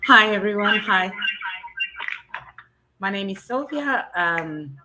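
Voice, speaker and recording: A woman's voice: medium pitch, animated, takes it slowly, very noisy. An older woman from Zambia introducing herself hesitantly, correcting herself as she goes. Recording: distant from the mic.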